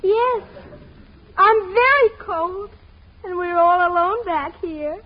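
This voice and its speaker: Sweet, dramatic girlfriend, 1940s radio comedy. Very high, gushy voice, muffled vintage sound. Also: slow, a little hiss or hum. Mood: coy. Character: sweet gushing, romantic, easily exasperated young girlfriend. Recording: dull 1940s radio broadcast.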